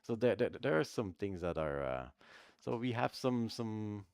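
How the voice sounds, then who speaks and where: A man with a French accent talks with emphatic, animated energy, now and then laughing through a point. His voice sits deep and low. The sound is dry and close, with no room around it. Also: a little slow, creaky, smooth, no room sound. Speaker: threat researcher co-host. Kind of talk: live stream discussion.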